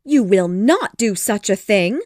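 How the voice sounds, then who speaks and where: Storybook role, played by a woman: nagging middle-aged mother, flustered and dramatic, voice swooping high, recorded dry. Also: sing-song, unhurried, darkish tone, no echo. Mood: indignant, fretful. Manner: exclamatory, impatient, dramatic. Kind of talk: storybook dialogue acting.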